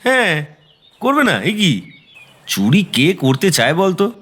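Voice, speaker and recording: An actor playing a kindly, easygoing middle-aged neighbourhood bachelor in an audio drama, speaking colloquially with breezy earnestness. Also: male voice, sits high, big pitch swings, takes it slowly, a bit bright, exaggerated voice. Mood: matter-of-fact, kindly. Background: background music.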